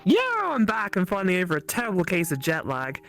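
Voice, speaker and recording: A woman voicing a teasing, skeptical female best friend with a sarcastic, animated delivery. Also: on the low side, fast talker, gravelly, soft background music, full character voice. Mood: friendly, curious.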